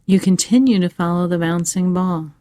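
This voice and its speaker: A woman narrating a scripted guided meditation for children in a measured, soothing way with pauses. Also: fairly low pitch, warm tone, no echo.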